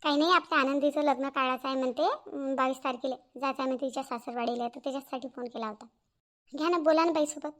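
An extremely high-voiced village wife , played by a woman in a Marathi cartoon. She talks fast and eagerly in a Varhadi accent, always ready to agree and gossip. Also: fairly bright tone. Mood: chatty. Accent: Varhadi Marathi from Vidarbha. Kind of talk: Marathi cartoon family-drama dialogue.

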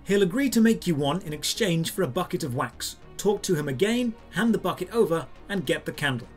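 A man reading a scripted step-by-step game tutorial in a clear, instructional way. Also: high voice, animated, fairly quick. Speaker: video guide narrator. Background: background music.

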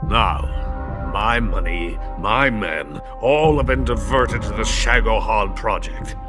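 Deep-voiced, bitter old Soviet scientist. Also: male voice, slow. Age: older. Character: bitter, drunken, proud older male Soviet weapons scientist. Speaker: game character actor. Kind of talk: dramatic video game cutscene acting. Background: music.

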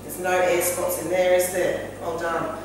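A woman's voice: lowish voice, little pitch movement, unhurried. A middle-aged woman presenting a cooking show, asking a child questions in a friendly, teacher-like way. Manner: encouraging, asks the child questions. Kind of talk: friendly classroom-style cooking demonstration. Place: kitchen classroom, indoors. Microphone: far camera mic. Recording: echoey room from far off, noisy.

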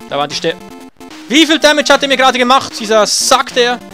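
A man's voice: very high-pitched, big pitch swings, brisk, sharp tone. A man giving casual, sarcastic commentary while playing a retro game, swearing when he gets hit. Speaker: let's play gamer. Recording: game music behind voice. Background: faint game music.

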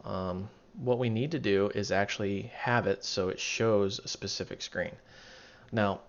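Raspy low-voiced man teaching code. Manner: thinking aloud, self-correcting. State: losing his voice, raspy. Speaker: programming tutorial instructor. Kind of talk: casual screen-recorded coding walkthrough. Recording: dull lo-fi mic, keyboard typing behind. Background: keyboard typing and clicking.

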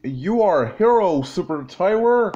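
A man reading a children's story aloud in an animated, exclamatory way, with halting phrasing. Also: high voice. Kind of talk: animated read-along storytelling. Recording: dull lo-fi sound, faint noise, clicks.

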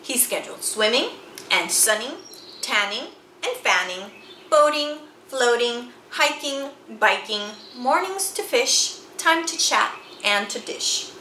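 A middle-aged woman reads a picture book aloud to children, with theatrical, deliberate, emphatic phrasing. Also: fairly high pitch, expressive, bright, forceful tone. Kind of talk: expressive children's read-aloud storytelling. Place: small indoor room with bookshelves and chalkboard. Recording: roomy sound with faint background noise.

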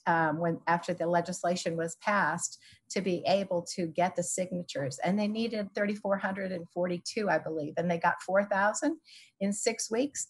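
Low-voiced woman explaining on a video call. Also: slightly rough. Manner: measured, explanatory, with frequent ums. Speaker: historian panelist. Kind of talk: panel discussion answers.

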